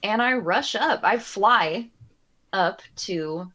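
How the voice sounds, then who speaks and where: A woman takes her turn in a tabletop game with casual, jokey talk. Her pace is slow and unhurried, and her voice sits at a medium female pitch. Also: expressive, sharp tone. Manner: playful, jokey. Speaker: player.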